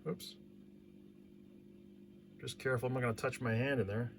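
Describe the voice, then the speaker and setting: A man's voice: mid-range voice, moderate pace. A man giving a hands-on technical demonstration, thinking aloud methodically as he works through each step. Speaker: electronics hobbyist demonstrator. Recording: steady background noise.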